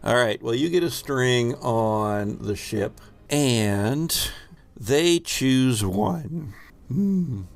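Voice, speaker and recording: A man with a mid-range voice hosts a tabletop role-playing game. He narrates at a slow pace, halting as he improvises, with an amused manner. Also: mid-range voice. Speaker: game master and host.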